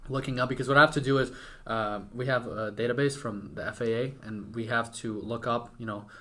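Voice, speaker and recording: A man's voice: medium pitch, steady tone, brisk, sharp tone. A young man from Western Ukraine, a native Ukrainian speaker, chatting casually and informally as a podcast guest. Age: young adult. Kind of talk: casual conversational interview.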